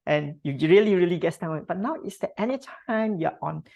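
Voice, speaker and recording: A woman guest from Singapore with a slightly low voice. She answers in a reflective way, with frequent fillers and tag questions. Her delivery is thoughtful and unhurried. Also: lively intonation, creaky, darkish tone. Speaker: podcast guest.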